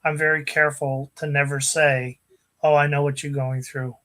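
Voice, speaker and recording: A man with a somewhat high voice speaks in a measured, earnest way. His tone is that of a casual podcast discussion among panelists. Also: flat delivery, fairly bright tone.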